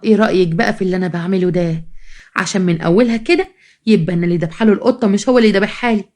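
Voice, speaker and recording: A woman's voice: fairly high pitch, rapid-fire, close to an everyday voice. A woman storyteller voicing a defiant, scheming young bride with an Egyptian accent, sassy and complaining. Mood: smug. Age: young adult. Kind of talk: dramatized storytelling.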